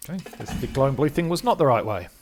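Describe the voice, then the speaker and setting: A man's voice: mid-range voice, big pitch swings, fast talker. An older man giving casual, wry and understated commentary as he plays a video game. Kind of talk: casual let's play commentary. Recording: faint background noise.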